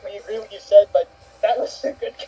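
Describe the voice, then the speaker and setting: A man's voice: high voice, fairly even intonation, fast, dark tone, husky, low-quality recording. A man chatting casually as a panelist in an online discussion. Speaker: panelist on an online opinion show. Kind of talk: casual chat.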